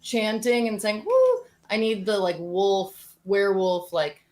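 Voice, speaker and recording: A woman hosting a casual live-stream happy hour, with a slightly raspy voice, giggly and chatty with many fillers. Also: medium pitch, animated, measured pace, darkish tone. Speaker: winery happy hour host.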